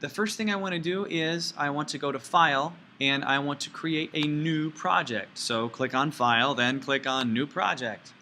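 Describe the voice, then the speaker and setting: Male teacher, bright crisp-edged voice, informal screencast walkthrough, folksy and playful, slight background noise. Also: a little high. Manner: folksy, playful asides and sound words. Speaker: teacher giving a software tutorial. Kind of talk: informal step-by-step screencast instruction.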